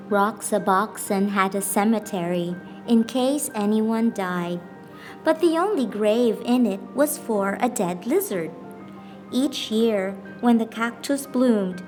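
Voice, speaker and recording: A woman reading a children's picture book aloud as a teacher, with expressive, deliberate phrasing and pauses for emphasis. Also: fairly high pitch. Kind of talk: scripted storybook narration. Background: background music.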